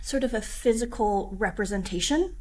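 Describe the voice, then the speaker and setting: American woman, podcast guest, chatty storyteller, mid-pitch voice. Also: moderate pace, warm tone, poor audio. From Washington State, USA. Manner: chatty storyteller, many fillers. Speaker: guest, tarot reader and coach. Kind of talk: casual podcast interview.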